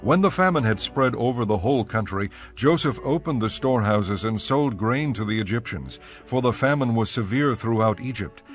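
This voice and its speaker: A man narrating scripture from a script in a measured, formal way. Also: medium pitch, expressive, faint music behind.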